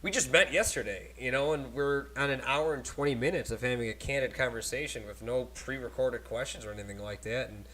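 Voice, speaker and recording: Animated man hosting a chatty podcast. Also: mid-range voice, fairly quick, hardly any pauses. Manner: animated, laughs often, rambling asides. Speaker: podcast host. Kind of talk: casual podcast chat. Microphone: cheap desk microphone at a laptop.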